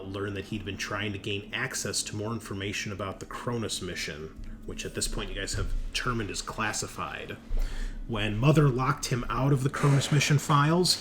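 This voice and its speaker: A man narrating a recap as game master of a tabletop horror game, unscripted and halting, speaking directly to the players. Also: fairly low pitch. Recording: clear background noise.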